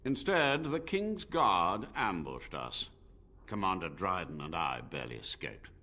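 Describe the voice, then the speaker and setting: An ancient, forceful, slow-speaking blood mage. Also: male voice, deep voice, very animated, heavily acted. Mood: grave, unrepentant. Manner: formal, theatrical, measured. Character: ancient unrepentant blood mage, grave and proud. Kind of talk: fantasy video game acting.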